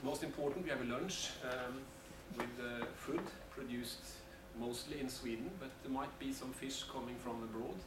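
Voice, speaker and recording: A man talks through the plans for a seminar day, pausing often and restarting his sentences. He sounds set back from the microphone in an echoey meeting room. A steady noise runs under his voice. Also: medium pitch. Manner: hesitant, many fillers and restarts. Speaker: seminar organizer. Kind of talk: announcements at a seminar. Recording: echoey room from far off, noisy.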